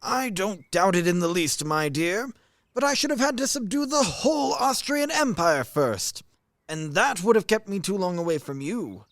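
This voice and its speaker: A high-pitched, wildly swooping middle-aged military man, acted by a man, pompous and blunt in a radio play. He grumbles like an old-fashioned husband, recorded very dry and close. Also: sing-song, moderate pace, a bit bright, no echo, exaggerated voice. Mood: proud, self-justifying.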